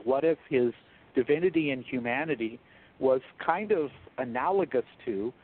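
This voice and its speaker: A man speaking as a guest theologian in an interview, explaining in a measured, thoughtful, scholarly way over a thin phone-like line. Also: medium pitch, expressive, husky. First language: English.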